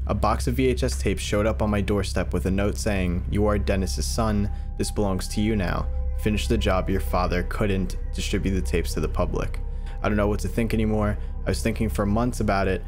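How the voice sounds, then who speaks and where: Male reaction host, twenties, slightly low voice, casual commentary, close mic over soft music. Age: 20s. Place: small furnished room indoors. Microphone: close desk microphone.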